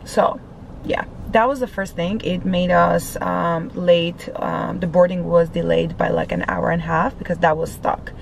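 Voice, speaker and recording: A young woman with a slightly raspy, tired voice, chatting casually to a dashboard camera in a parked car, rambling with lots of fillers. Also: lowish voice, steady tone, measured pace, slight background noise, poor audio. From Los Angeles area. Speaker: vlogger. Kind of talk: casual vlog chat.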